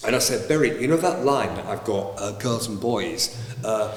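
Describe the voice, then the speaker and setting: A man telling a lively backstage story and acting out the voices. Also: fairly low pitch, normal speed, slight background noise. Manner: animated, acts out voices in his stories. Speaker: panto actor. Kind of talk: anecdotal storytelling. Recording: roomy, echoey with long reverb.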